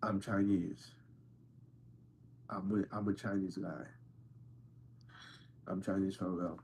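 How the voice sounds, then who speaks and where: A man's voice: low-pitched, flat delivery, takes it slowly, gravelly, a little mellow, a little hiss or hum. A man hosting a casual livestream, answering viewers' questions in an insistent, playful way and repeating himself for emphasis. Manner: playful, teasing. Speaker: livestream host answering chat.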